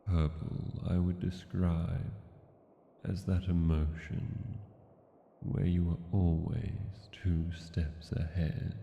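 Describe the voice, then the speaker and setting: A man playing an ancient, brooding dragon king in a fantasy audio roleplay, speaking tenderly and deliberately to a lover, with dramatic pauses. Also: full character voice. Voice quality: gravelly low voice. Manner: slow, deliberate, hushed storytelling with dramatic pauses. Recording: echoey cavern-like reverb, dull, noisy.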